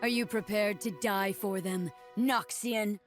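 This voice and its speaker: A woman's voice: medium pitch, fairly even intonation, a little slow, soft background music, close to an everyday voice. Stoic warrior woman leader, voiced by an actress. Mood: defiant. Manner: solemn, confident, earnest. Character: stoic, courageous young woman blade-dancing army leader.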